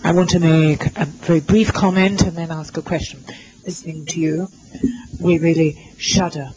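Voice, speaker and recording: High-voiced man interpreting live. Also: little pitch movement, a little mellow, husky, muffled recording. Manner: halting, with many fillers and restarts while rendering others' words. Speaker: simultaneous interpreter. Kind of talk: live interpretation at a university lecture. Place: lecture hall.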